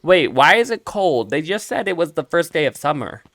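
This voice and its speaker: A man's voice: fairly high pitch, moderate pace, no echo, studio quality. A man giving casual, playful commentary as he plays through a video game. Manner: playful, animated, reads dialogue aloud in character. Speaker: let's play streamer voicing characters and reacting.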